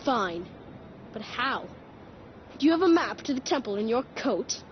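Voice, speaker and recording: High-pitched cheeky boy. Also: big pitch swings, measured pace. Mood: offhand. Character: cheeky, stubborn French street child, small boy. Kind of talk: video game cutscene acting. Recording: muffled game audio, clear background noise.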